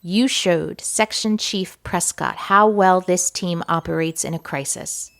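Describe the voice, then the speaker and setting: Woman as a lowish-voiced, deadpan spy boss. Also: unhurried, creaky. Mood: composed, dry. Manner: formal, deadpan, authoritative. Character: stern, composed, dry female spy boss. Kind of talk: audio comedy drama acting.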